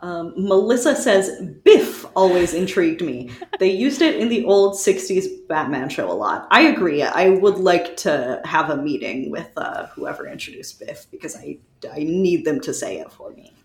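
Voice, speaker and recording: A woman's voice: fairly low pitch, animated, normal speed, hardly any pauses. A woman co-hosting a casual group podcast with a playfully opinionated way of talking. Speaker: podcast co-host. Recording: roomy, echoey sound.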